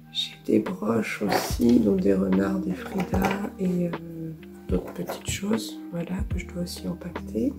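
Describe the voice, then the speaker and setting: A woman in her thirties from France, hoarse with a cold, talking softly and casually in her art studio vlog, with many fillers. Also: medium pitch. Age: 30s. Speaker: illustrator. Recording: music playing behind.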